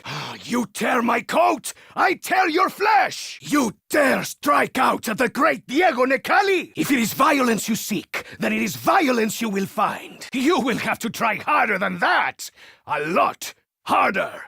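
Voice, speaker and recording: A vain, witty gunslinger with a thick Spanish accent in a video game, his voice leaping all over the place with theatrical flair. The tone sits a touch high and slightly husky, heard dry and close. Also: bright, forceful tone, dry recording, full character voice. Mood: defiant. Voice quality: suave, theatrical, playfully boastful. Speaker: game character.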